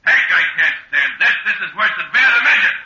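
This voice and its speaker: A huge, cramped soldier on an old radio play, forever grumbling. His voice is very bright and swings widely in pitch, and the dull recording has a roomy echo. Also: animated, fast talker, full character voice. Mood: exasperated, impatient. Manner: exclamatory, complaining. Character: grumbling, cramped giant soldier man thirty feet tall. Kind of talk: 1940s radio acting.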